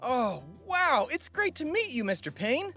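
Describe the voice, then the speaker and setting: A man voicing an excitable, wide-eyed producer character in an audio comedy, exclaiming in amazement. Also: very high voice, unhurried, soft background music, pitched above the performer's own voice, exaggerated voice. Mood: amazed excitement.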